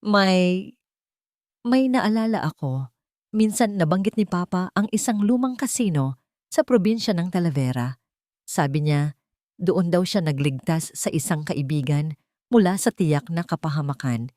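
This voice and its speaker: A woman's voice: fairly low pitch, lively intonation, a little slow, long pauses, darkish tone, no room sound, studio quality. A Filipino woman voicing an earnest orphaned young woman, the tentative heroine of an audio romance. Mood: hesitant.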